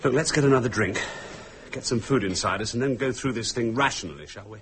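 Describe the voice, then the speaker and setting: A man's voice: medium pitch, fast talker, a bit bright, a little hiss or hum, lightly acted. An actor playing a defensive British man in a radio drama, insisting on reason in a clipped way. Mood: defensive, exasperated.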